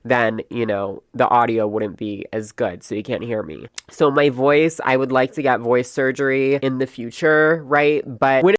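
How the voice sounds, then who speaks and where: Smooth dry-voiced man, earnest and reflective. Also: mid-range voice, moderate pace, few pauses, dry recording. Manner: earnest, reflective, circles back with "right?". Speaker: creator in a clip being reacted to. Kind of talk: personal vlog talk.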